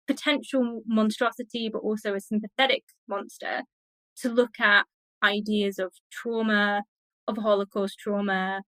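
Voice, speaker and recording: A woman's voice: on the high side, no echo, rough recording. A woman speaking as an expert interview guest, explaining in a conversational, scholarly and enthusiastic way.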